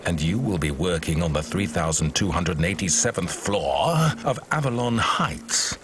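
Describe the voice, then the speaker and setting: An actor playing a self-important senior cleaning robot, officious and pompous, in a video game. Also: male voice, deep voice, expressive, a little slow, background noise. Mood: officious, proud.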